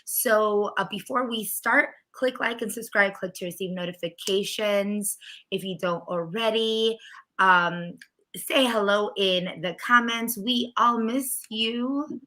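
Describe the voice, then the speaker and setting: A woman with a hoarse, raspy voice that still comes through bright and forceful. She co-hosts a recap livestream and talks to her viewers in a casual, chatty way. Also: medium pitch, slow. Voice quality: raspy, strained voice. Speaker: co-host of a reality TV recap show.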